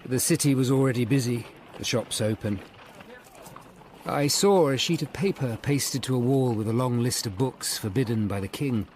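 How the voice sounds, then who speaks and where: Casting: male actor as humane, reflective English lawyer of the Tudor age, forties, measured radio drama narration, faint background noise. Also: medium pitch, a little mellow, lightly acted. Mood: melancholy, reflective. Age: 40s. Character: melancholy middle-aged hunchbacked Tudor lawyer, humane and thoughtful.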